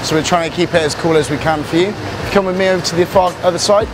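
A man's voice: high voice, fairly even intonation, quick pace, heavy background noise. A man in his thirties, a personal trainer, leading a chatty walking tour of a gym with lots of fillers, recorded on a camera mic in large indoor halls. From England. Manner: upbeat, chatty, many fillers. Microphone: camera mic, handheld camera following. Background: fans and building work.

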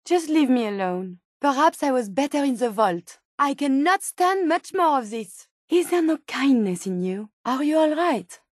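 A woman's voice: high-pitched, expressive, normal speed, hardly any pauses, dry recording, studio quality. A woman with a French accent voicing a polite, earnest female robot scientist in a video game, speaking formally and precisely. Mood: hurt, reproachful. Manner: formal, precise, courteous phrasing without contractions. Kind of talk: video game character dialogue.

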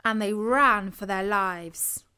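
A woman's voice: medium pitch, slow, pushed and bright, smooth. A woman with a British accent narrating a scripted bedtime story for children.

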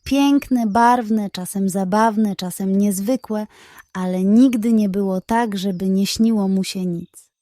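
Female bedtime-story narrator: gentle and expressive, very slow delivery, dry studio-like sound. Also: medium pitch, no room sound.

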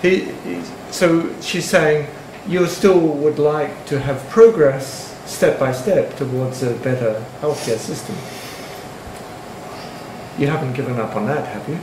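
A man moderates a seminar discussion and relays comments from remote participants, with frequent pauses and fillers. His voice is recorded in an echoey room. A noisy background sits beneath it. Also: fairly high pitch.

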